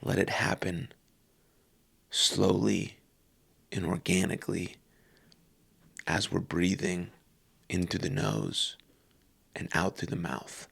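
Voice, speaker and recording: A man leading a guided meditation calmly and unhurriedly, in short phrases with long pauses. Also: deep voice, vocal fry, breathy edge.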